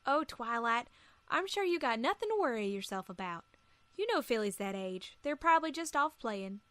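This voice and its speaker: A woman's voice: on the high side, very animated, normal speed, a bit bright, no echo. A woman voicing an easygoing, folksy country mare pony with a Southern US accent in a cartoon-style audio story. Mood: reassuring. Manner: folksy, reassuring.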